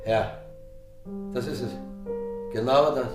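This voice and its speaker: An actor playing a polite, probing police sergeant in a German radio play, questioning in a measured, matter-of-fact way. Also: male voice, mid-range voice, steady tone, music in the background, natural voice, rough recording. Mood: official, probing. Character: courteous, probing London police sergeant investigating a murder.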